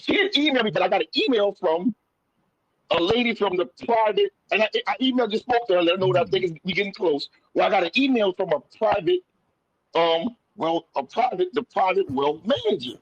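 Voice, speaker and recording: High-voiced man telling an animated story. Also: fairly quick, no room sound, highs cut off. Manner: animated, folksy, repeats phrases and re-enacts conversations. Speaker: guest caller sharing a personal story. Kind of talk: casual storytelling.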